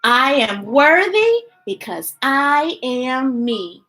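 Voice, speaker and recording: Woman, children's story time host: bright, forceful voice, unhurried delivery, playful and expressive read-aloud with chatty asides. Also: sits high. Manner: animated, gushing, playful.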